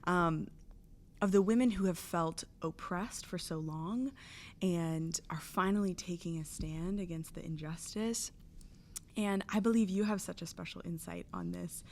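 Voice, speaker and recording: A woman hosting an interview, enthusiastic and quick to affirm her guest. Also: fairly low pitch. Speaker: host. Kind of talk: interview conversation.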